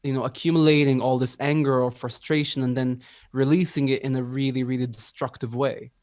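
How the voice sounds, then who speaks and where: A man's voice: medium pitch, level tone, brisk, darkish tone, dry recording. Young man musician, dry reflective chat. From Libya. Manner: reflective, many "like" fillers. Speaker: musician guest. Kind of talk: casual interview chat.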